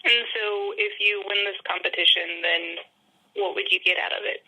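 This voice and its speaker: A woman explaining technical matters informally as an interviewee on a phone call, in a measured way with frequent pauses. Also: medium pitch, steady tone, pushed and bright, breathy edge. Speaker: interviewee, consulting company representative. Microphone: telephone.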